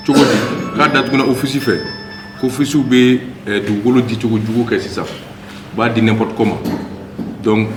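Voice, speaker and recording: A man with a Malian accent giving a formal report to a meeting, itemizing point by point and mixing in French words. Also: medium pitch. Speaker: town hall secretary general presenting workshop findings. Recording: echoey room with faint background noise.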